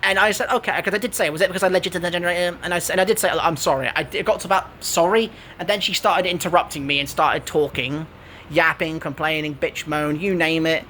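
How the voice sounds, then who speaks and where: A man in his twenties with an English accent and a high, squeaky voice, rambling through an aggrieved retelling of an online argument. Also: fairly even intonation, fast talker, pushed and bright, slight background noise. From England. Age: 20s. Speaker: gaming vlogger. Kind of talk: casual commentary.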